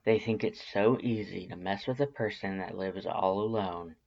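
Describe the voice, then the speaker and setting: A man voicing a protective skeleton monster with a coldly calm, casual menace in a story reading. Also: sits low, normal speed, creaky, highs cut off. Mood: cold, resolved.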